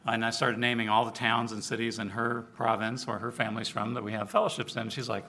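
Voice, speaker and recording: Man, preacher, forceful bright voice, gravelly edge, informal sermon storytelling, conversational delivery. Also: medium pitch, fast talker. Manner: conversational, animated storyteller, acts out dialogue. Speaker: preacher, overseer of church outreach.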